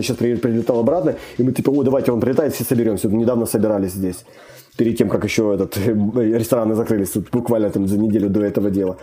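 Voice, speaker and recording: Young male guest, casual and rambling, quick delivery, very dark timbre. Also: mid-range voice. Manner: rambling, many fillers and restarts. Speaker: immigrant guest. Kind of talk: casual conversation.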